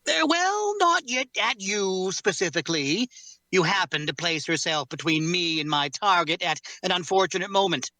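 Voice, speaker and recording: A man playing a formal, guarded cursed warrior in an audio drama table read, speaking courteously in measured, deliberate phrases. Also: high-pitched, big pitch swings, bright, forceful tone, dry recording, full character voice. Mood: matter-of-fact.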